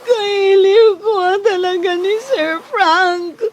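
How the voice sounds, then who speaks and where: A woman's voice: extremely high pitch, slow. A woman playing a plaintive, emotional young housemaid in a radio drama, lovesick and weary of mistreatment. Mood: lovesick, tearful.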